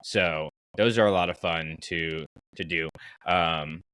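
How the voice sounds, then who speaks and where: A man talks casually as a podcast host in a slightly low, bright voice. The sound is dry, with very little room to it. He is conversational and opinionated, working through his thoughts out loud. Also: steady tone, slow, clean tone, no echo. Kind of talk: casual podcast chat about fiction.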